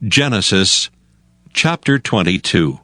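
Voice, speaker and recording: A man giving a scripted, solemn and measured narration of scripture. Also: deep voice, very animated, no echo, clean studio sound. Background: soft music.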